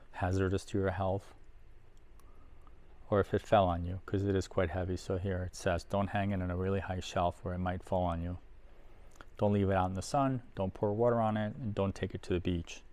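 A middle-aged man giving casual, unhurried unboxing commentary, thinking aloud as he handles things in a workshop. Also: low voice, a little mellow. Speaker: host of an audio gear unboxing video. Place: indoor workshop at a workbench.

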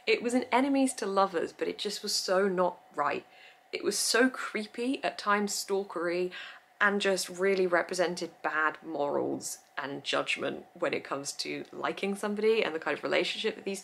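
A woman's voice: lowish voice, gravelly, a bit bright. A young woman in her twenties chatting effusively about books, recorded indoors in front of bookshelves. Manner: chatty and effusive, laughs often. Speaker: book vlogger. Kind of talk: casual book review chat. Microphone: camera mic, head-and-shoulders framing.

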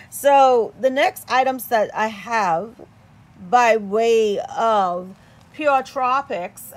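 Chatty excitable woman, higher voice. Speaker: product reviewer. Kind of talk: casual unboxing chat. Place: indoors at a table.

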